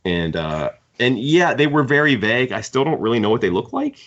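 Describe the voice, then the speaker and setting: A man's voice: mid-range voice, sing-song, a little fast, fairly bright tone, lo-fi sound. A man co-hosting a podcast, giving critical opinions in casual book discussion. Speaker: podcast host.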